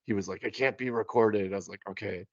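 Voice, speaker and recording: A man's voice: on the low side, steady tone, fast talker, no echo, highs cut off. A man chatting casually as a podcast host, in an informal, slangy way. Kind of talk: casual chat.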